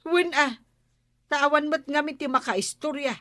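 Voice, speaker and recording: Bright-voiced, chatty village woman. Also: high-pitched, a little slow, dry recording, studio quality. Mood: curious, insistent. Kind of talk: Ilocano radio comedy drama acting.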